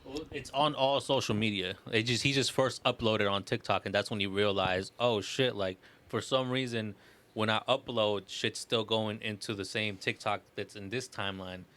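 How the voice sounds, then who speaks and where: A man's voice: on the low side, fairly even intonation, moderate pace, fairly bright tone. Slangy, emphatic man chatting. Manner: slangy, emphatic, addresses others as bro. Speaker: podcast co-host. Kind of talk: casual podcast chat.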